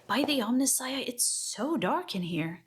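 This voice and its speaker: A woman's voice: a little high, very animated, measured pace, heavy background noise. A woman playing an eccentric, curious tech-priest scholar, affectionate and a little nervous, in a sci-fi audio drama. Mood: puzzled caution.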